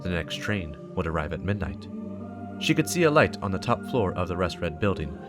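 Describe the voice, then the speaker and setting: A man's voice: low voice, very animated, fairly quick. A man giving a dramatic scripted narration of a story. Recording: music behind the voice. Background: game soundtrack music.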